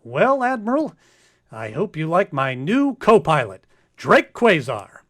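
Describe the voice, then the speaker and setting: A man acts out a by-the-book space captain in a comic radio play. His voice sits fairly high and swings widely. He stammers and frets in theatrical exasperation as he schemes. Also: huge pitch swings, a little slow, a bit bright, full character voice. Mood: eager.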